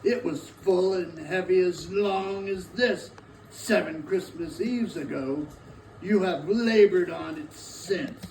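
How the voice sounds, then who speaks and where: A man's voice: high-pitched, takes it slowly, a little mellow. An actor playing the tormented ghost of a dead business partner in a dramatic stage reading, solemn and declamatory as he gives warning. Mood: woeful, commanding. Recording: echoey room, distant mic, faint noise.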